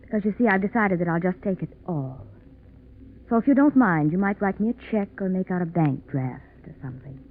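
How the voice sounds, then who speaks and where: A woman's voice: fairly low pitch, lively intonation, brisk, natural voice. A cool, greedy femme fatale played by a woman in a 1940s radio mystery. She is blithely mocking and calculating. The old recording is very narrow and muffled, with some hiss.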